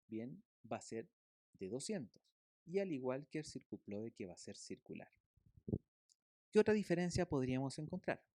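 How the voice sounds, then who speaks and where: A man teaches in a mellow, relaxed voice at a medium pitch. He explains step by step like a lecturer, often posing questions and answering them himself. The sound is dry and close, with little room on it. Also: no echo. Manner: didactic, checks understanding with rhetorical questions. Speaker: physical therapy instructor. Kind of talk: recorded lecture explaining slides.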